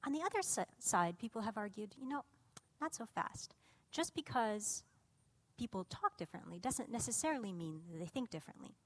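Russian-native woman giving a lively lecture. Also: on the high side, fairly quick. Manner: lively and engaged, with frequent fillers. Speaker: cognitive scientist giving a lecture. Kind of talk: public lecture.

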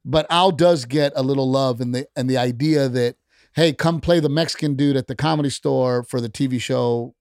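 A man's voice: medium pitch, moderate pace, darkish tone, no echo, clean studio sound. A middle-aged man, a stand-up comedian and podcast guest, talking bluntly and heatedly in casual chat, with plenty of profanity. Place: podcast studio.

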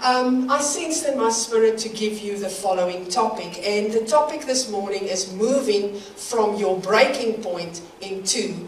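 A woman's voice: mid-range voice, measured pace, hardly any pauses, a little hiss or hum. A middle-aged woman from South Africa with a South African accent, giving a slightly nervous church sermon with light laughs between phrases. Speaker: guest preacher. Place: church hall. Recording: roomy church hall from far off.

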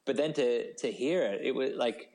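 A man's voice: mid-range voice, moderate pace, a little mellow. A man talking casually as an interview guest, enthusiastic and rambling, with lots of informal "like". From Australia. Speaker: novelist being interviewed as a guest.

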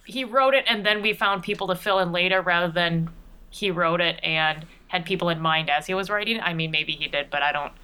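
A woman's voice: on the low side, a little fast, sharp tone, clear, resonant tone, low-quality recording. A woman chatting casually and playfully as a guest in an interview. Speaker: podcast regular and voice performer being interviewed.

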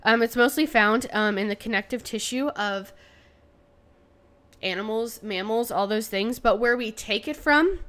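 A woman's voice: fairly high pitch, unhurried, a bit bright. Nasal, congested young woman podcaster. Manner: chatty, loose, many "um" and "like" fillers. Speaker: podcast host. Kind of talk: casual solo podcast talk.

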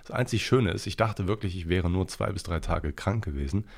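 A man's voice: deep voice, brisk, gravelly. A young man from the Ruhr area hosting his own podcast, chatting in a rambling, blunt, slangy way, a little nasal and congested from a cold. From Ruhr area, Germany. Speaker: podcast host. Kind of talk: casual solo podcast chat.

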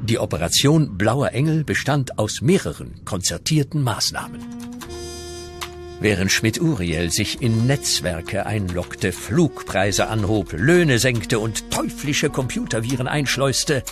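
Male narrator, scripted comedic radio play, animated intonation, clear music bed. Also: fairly low pitch, unhurried.

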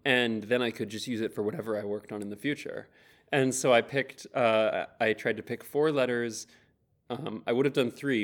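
Soft-spoken man. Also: mid-range voice. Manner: thoughtful, rambling with many fillers. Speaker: interview guest. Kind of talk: relaxed interview answers.

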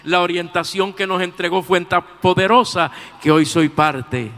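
A Puerto Rican man in his sixties preaching from the pulpit in a high, bright, ringing voice. He swings from shouted fervor down to near-murmurs and keeps dropping a praise phrase between lines. His voice hangs in the long reverb of a large church hall. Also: unhurried. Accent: Puerto Rican Spanish. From Puerto Rico. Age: 60s. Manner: preaching with sudden shouted peaks and drops to a soft, low register; repeats a stock praise phrase between sentences. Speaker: pastor. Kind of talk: sermon.

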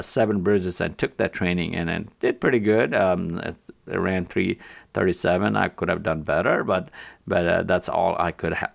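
A middle-aged man with a Nepali accent hosts a casual solo podcast in a deep, gravelly voice. He rambles along with many restarts and fillers, chuckling softly now and then. The recording is close and dry. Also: dry recording. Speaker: podcast host and marathon pacer.

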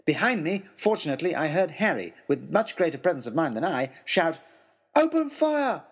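Expressive, husky male storyteller. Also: a little high, brisk. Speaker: audiobook narrator. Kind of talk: dramatic first-person story narration.

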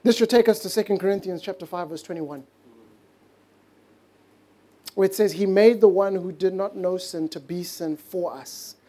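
A mellow, warm-toned man preaching a heartfelt sermon. He stretches out important words and sinks into soft, hushed moments for emphasis. English is a later-learned language for him. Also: high voice. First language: not English. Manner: earnest, stretches key words slowly, drops to a hush for emphasis. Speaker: pastor.

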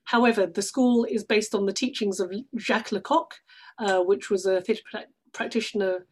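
A woman's voice: mid-range voice, fairly even intonation, normal speed, a little mellow. A woman from London, speaking as an interview guest and explaining things conversationally. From London, England. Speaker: interview guest, stage actress. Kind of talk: casual explanatory interview talk.

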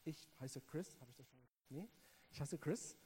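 A man's voice: on the high side, dark tone, slight background noise. South African man preaching in German. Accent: South African English. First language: English. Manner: halting, repeats words, earnest, speaks to listener directly. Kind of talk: sermon.

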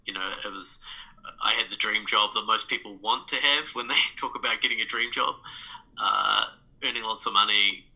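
A man in his thirties with a New Zealand accent speaks as a podcast guest, his voice sitting rather low yet sounding very bright and crisp. He talks reflectively, full of small fillers and restarts. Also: moderate pace, low-quality recording. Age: 30s. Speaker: guest, life coach. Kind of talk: casual podcast interview.

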